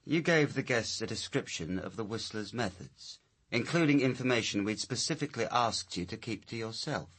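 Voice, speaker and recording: An actor playing a suspicious police sergeant in a radio crime drama, with a blunt, challenging way of questioning. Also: male voice, on the low side, expressive, moderate pace, fairly bright tone, husky. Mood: pressing.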